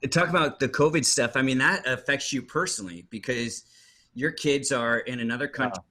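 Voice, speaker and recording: A male podcast host with a bright, forward tone. He leads the conversation casually, his questions meandering with fillers and restarts. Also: medium pitch, a little fast. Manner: rambling questions with many fillers.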